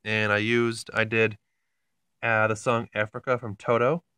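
A man's voice: on the low side, level tone, unhurried, sharp tone, clear, resonant tone, no echo. A man talking casually and informally in a rambling, mildly amused way, like someone giving a hands-on hobby demo. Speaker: hobbyist video maker.

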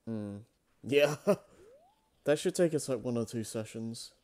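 Mellow-voiced man, relaxed co-host. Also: mid-range voice, a little slow. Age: 20s. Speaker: co-host and channel owner. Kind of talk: casual chat, channel update.

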